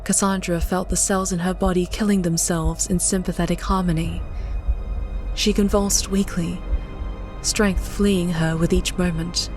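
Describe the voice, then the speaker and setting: A woman narrates an audiobook in a dramatic, scripted style. Her voice sits a little low, and music plays behind her. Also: little pitch movement, a little slow, a little mellow.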